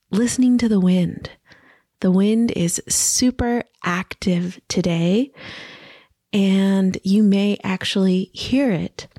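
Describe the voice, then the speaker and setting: A woman hosts her podcast from home in an unhurried, reflective monologue, letting long pauses hang between her thoughts. Her voice sounds a little scratchy from allergies, yet it stays soft and gentle at a middle pitch. The recording is dry and close, with no room echo. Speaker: podcast host. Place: home by a river, indoors, wind outside.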